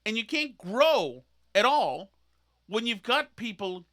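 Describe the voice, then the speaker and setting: A man hosting a podcast, ranting in an animated, sarcastic, mocking way. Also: high-pitched, slow pace. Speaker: podcast host. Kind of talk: opinionated podcast rant.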